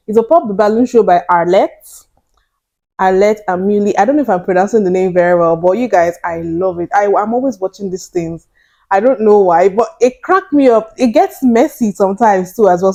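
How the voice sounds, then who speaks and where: A young woman hosts her own reaction channel, speaking straight to her viewers. Her voice is mellow and warm at a middle pitch. She sounds animated and often incredulous. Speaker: reaction vlogger. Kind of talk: casual commentary to camera.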